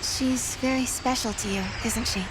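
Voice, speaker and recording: An actress playing a gentle, thoughtful young heroine in a video game cutscene. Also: female voice, a little high, fairly even intonation, normal speed, heavy background noise, close to an everyday voice.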